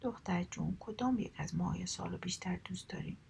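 A woman's voice: lowish voice, gravelly, a little mellow, slight background noise. A woman whispering as a wise old month spirit. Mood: calm.

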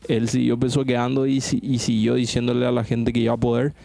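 A man tells a rambling, slangy story on a podcast among friends. His voice is mellow and soft-edged, and he drives the point home by repeating himself. Faint music runs underneath. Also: medium pitch, monotone, fairly quick, vocal fry, no echo. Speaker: podcast host. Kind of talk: casual chat among friends.

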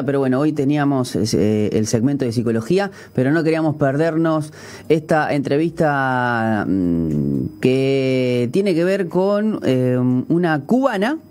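Male Uruguayan radio host, higher pitch, chatty, hesitant, many fillers. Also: a little mellow. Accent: Uruguayan Spanish. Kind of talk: radio interview. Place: radio studio.

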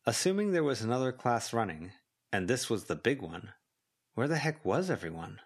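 A man's voice: mid-range voice, very animated, normal speed, husky. A man reading scripted fiction as a volunteer audiobook narrator.

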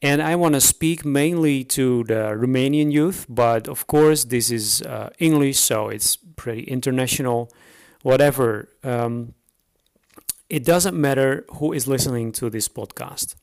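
A man from the Netherlands with a Dutch accent, speaking English as a second language, hosting a solo podcast introduction unscripted, with many pauses, fillers and restarts. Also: medium pitch, darkish tone. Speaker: podcast host.

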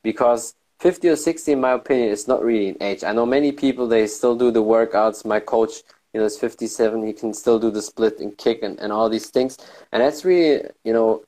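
A man's voice: medium pitch, a little fast, dark tone, low-quality recording. A man hosting a podcast interview, eager and full of compliments for his guest. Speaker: podcast host. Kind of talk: casual interview.

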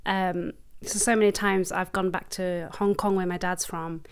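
A young woman hosting a podcast, asking questions and chatting in a relaxed way with fillers and soft laughs. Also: fairly low pitch, normal speed, clear, resonant tone. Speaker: podcast host. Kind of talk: casual interview chat.

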